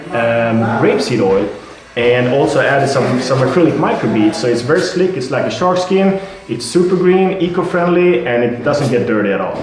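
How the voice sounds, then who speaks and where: A man with a Swedish accent, a product representative, explaining and demonstrating his product with halting speech, fillers and repeated words. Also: medium pitch, animated. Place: building site at a house. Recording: very echoey.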